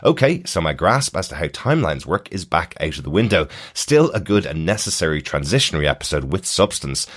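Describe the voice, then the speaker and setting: A man's voice: sits low, big pitch swings, brisk, few pauses, fairly bright tone. A man hosting a podcast in a casual, chatty, conversational way. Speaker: podcast host. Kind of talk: casual TV discussion, reading listener email.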